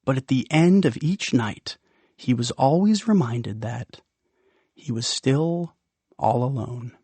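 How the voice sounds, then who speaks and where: A man narrating a children's audiobook in an expressive, playful way with dramatic pauses. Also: medium pitch, slightly rough, no echo. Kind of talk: expressive scripted storybook narration.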